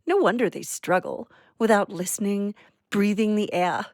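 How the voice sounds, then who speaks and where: A woman's voice: mid-range voice, normal speed, no echo, studio quality, close to an everyday voice. A woman playing a poetic, philosophical little warbler in an audio drama, with a lyrical, declamatory delivery.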